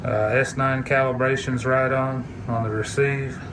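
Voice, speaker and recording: A man's voice: mid-range voice, flat delivery, moderate pace. Guy casually showing off gear, unscripted and techy, recorded on a phone, bit of radio hiss behind. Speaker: hobbyist video presenter. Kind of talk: casual hands-on gadget demo. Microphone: phone camera mic. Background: radio chatter and hiss.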